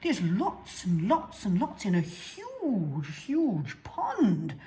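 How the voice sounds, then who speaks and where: A woman's voice: medium pitch, wildly swooping pitch, very slow, mellow, pitched above the performer's own voice, exaggerated voice. A woman voicing an eager, bold little boy bear who leads the other cubs in a children's story. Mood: amazed.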